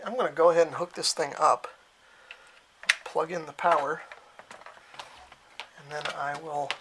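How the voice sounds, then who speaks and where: A man's voice: fairly high pitch, gravelly. A man giving a casual, unscripted hands-on gadget demonstration, thinking aloud as he goes in his cellar at home. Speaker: radio hobbyist demonstrating equipment. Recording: noisy home recording with clicks.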